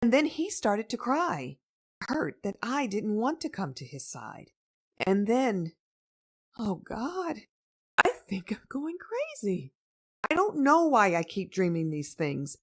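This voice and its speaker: A woman playing a distraught young wife shaken by a dream, halting and emotional, in a dramatic comic dub. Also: mid-range voice, huge pitch swings, heavily acted. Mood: distraught, pleading.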